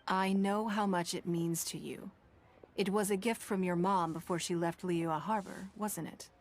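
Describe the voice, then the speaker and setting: An actress playing a composed, kindly and shrewd elegant woman in a video game, speaking in a measured, gentle, instructive way. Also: on the low side, fairly even intonation, a little hiss or hum, natural voice. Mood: gentle, reassuring.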